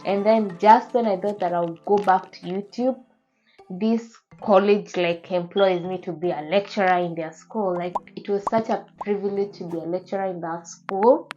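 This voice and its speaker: A woman's voice: medium pitch, fairly even intonation, normal speed, mellow, highs cut off, soft background music. A woman in her twenties with a Kenyan accent, chatting casually to her viewers as a beauty and lifestyle vlogger in an earnest, emphatic manner. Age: 20s. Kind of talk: casual chat to camera.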